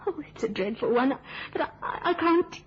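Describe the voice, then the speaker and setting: An actress playing a fragile, obsessive older spinster in an old-time radio drama, speaking haltingly and repeating herself with anxious fervour. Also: female voice, high voice, expressive, highs cut off. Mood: anguished longing.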